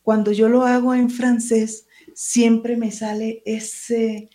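A woman's voice: a little high, little pitch movement, takes it slowly, mellow. A woman, a writer and teacher, who speaks Spanish as her first language, answering interview questions in a hesitant, searching way and reading from her book.

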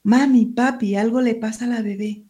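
Mellow-voiced woman writer. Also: a little high, steady tone, moderate pace. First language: Spanish. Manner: hesitant, searching for words. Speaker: writer and teacher. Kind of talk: interview answers and reading from her book.

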